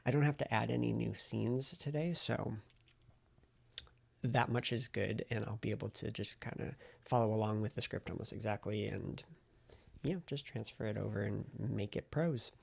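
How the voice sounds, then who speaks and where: Male writing vlogger, casual and effusive, fry-laden husky voice, close dry sound. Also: fairly low pitch, normal speed, no echo. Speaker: novelist vlogger documenting writing progress. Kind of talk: casual vlog chat to camera.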